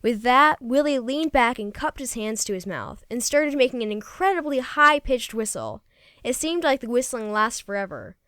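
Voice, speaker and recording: A woman reading a children's story aloud in an expressive, folksy first-person storytelling manner. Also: a little high, normal speed, smooth, dry recording, clean studio sound. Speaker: storybook reader.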